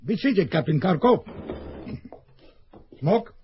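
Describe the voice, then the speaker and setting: An actor playing a cold, scheming middle-aged KGB colonel in an old radio drama. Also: male voice, sits high, big pitch swings, slow pace, slight background noise, full character voice. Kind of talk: 1970s radio drama acting. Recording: dull old radio recording.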